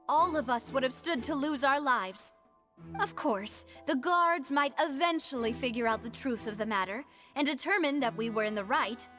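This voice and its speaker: High voiced young lady boss from a game, determined, speechmaking, music under it. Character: resolute young woman, syndicate leader arguing for her dead father. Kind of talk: video game courtroom drama acting.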